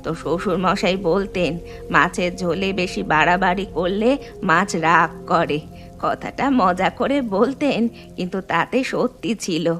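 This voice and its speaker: A woman's voice: lowish voice, soft background music. A woman voicing an elderly Bengali mother-in-law in a cartoon, firm and traditional, fondly chiding and instructing. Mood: gently advising. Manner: unhurried, instructive, gently chiding. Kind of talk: animated moral story voice acting.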